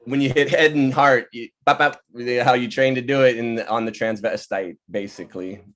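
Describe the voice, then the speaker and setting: A man's voice: mid-range voice, steady tone, moderate pace, fairly bright tone. Informal man with a mid-range voice. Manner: informal, laughs often. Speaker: playwright taking part in a table read. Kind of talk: casual discussion, explaining the work. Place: virtual table read over video call. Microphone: video call.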